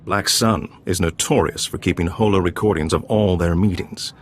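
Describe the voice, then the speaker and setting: An actor playing a genteel, courteous underworld informant in a video game, speaking with measured politeness. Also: male voice, low voice, a little hiss or hum, close to an everyday voice. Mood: courteous, cautioning. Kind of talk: video game dialogue acting.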